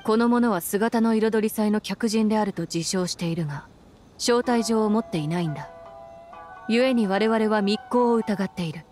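A woman's voice: mid-range voice, moderate pace, a little mellow, lightly acted. A woman playing a stern, dutiful female general in an anime game, formal and authoritative. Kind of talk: Japanese anime game voice acting. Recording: background music behind voice.

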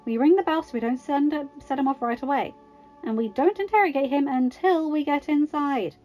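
A commanding, exasperated female secret agent in a fan story, acted out by a woman reader. Her voice is fairly high and bossy. The recording is slightly dull with soft music behind it. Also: expressive, normal speed.

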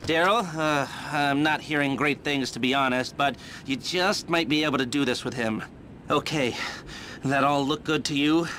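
Game role, male actor: sardonic middle-aged male heist planner, twitchy and halting, forceful bright voice, slight background noise. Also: mid-range voice, lightly acted. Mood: businesslike, wry. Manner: halting, chuckling, excitable explainer. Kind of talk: video game cutscene acting.

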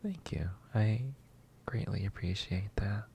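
A man acting a shy, polite, flustered neighbor in a roleplay ASMR, hesitant, apologetic and breathy. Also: on the low side, measured pace, no echo, full character voice. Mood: grateful. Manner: hesitant, soft-spoken, apologetic, breathy sighs and whispers.

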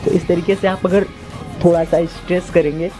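A man's voice: high-pitched, little pitch movement, a little fast. A man in his twenties giving casual, instructive workout directions to viewers, often repeating his phrases. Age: 20s. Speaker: amateur home fitness instructor. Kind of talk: workout demonstration talking to viewers. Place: outdoors in a brick-walled yard or rooftop. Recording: loud music behind voice. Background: music.

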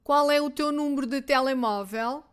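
A woman teacher with a European Portuguese accent, reading simple phrases twice each, clearly and deliberately, for learners. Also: high voice. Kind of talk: slow repeated phrase drills for learners.

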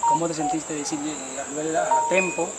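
Young male street interviewer, quick and slightly high, prompting his guest. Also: steady tone, over loud music, low-quality recording. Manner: prompting, summarizing the answers back. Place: outdoors at night by a wall. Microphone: handheld mic, held away from his own mouth.

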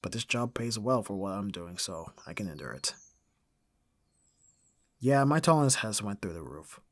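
A man playing a gruff but caring young roommate in an audio roleplay, casual and dryly grumbling, with a slightly husky voice. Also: on the low side, lively intonation, moderate pace, dry recording. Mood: relaxed, caring. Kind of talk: ASMR audio roleplay acting.

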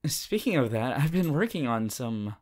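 A man playing a shy, devoted, easily flustered teenage boy hero in an intimate, halting romantic roleplay. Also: medium pitch, big pitch swings, a little mellow. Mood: sheepish, flustered. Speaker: voice actor. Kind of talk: romantic roleplay audio, intimate fan performance.

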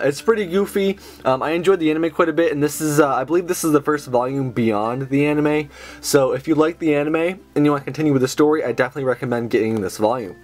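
A young man who is sick and has a sore throat, chatting casually with lots of fillers as he reviews his book haul indoors. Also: on the high side. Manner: chatty, enthusiastic, many fillers. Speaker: manga haul video host. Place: indoors at a table with books.

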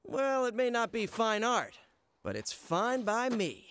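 A young masked hero in a video game. His voice sits high for a man, with a quippy, earnest, upbeat delivery. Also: huge pitch swings, unhurried, noisy background, heavily acted. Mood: wry concern. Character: wisecracking, earnest young male masked superhero. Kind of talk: video game cutscene acting.

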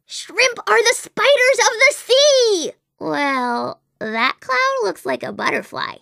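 A woman's voice: very high voice, very animated, takes it slowly, vocal fry, fairly bright tone, dry recording, clean studio sound, full character voice. A woman voicing an imaginative, chatty little girl in a video game, with a whimsical, earnest, childlike way of wondering aloud. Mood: curious, wondering. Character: imaginative, chatty little girl, dreamy about unicorns and princesses. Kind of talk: animated video game character voice acting.

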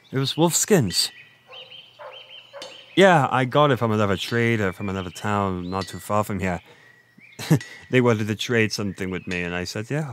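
A male actor plays a flirty Irish innkeeper and former merchant with a lowish voice. He rambles and boasts in an intimate audio roleplay, pausing to think as he tells his tale. Outdoor ambience with birdsong sits clearly behind him. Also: a little hiss or hum. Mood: chatty, reminiscing. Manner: flirty, chatty, meandering storyteller with thoughtful pauses.

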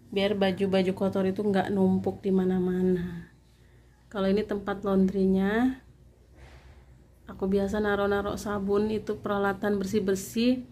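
A woman giving a chatty, quiet tour of her home, talking to viewers as friends while filming on a phone. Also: mid-range voice, a little hiss or hum. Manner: quiet, soft-spoken. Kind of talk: casual house tour narration. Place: inside a home.

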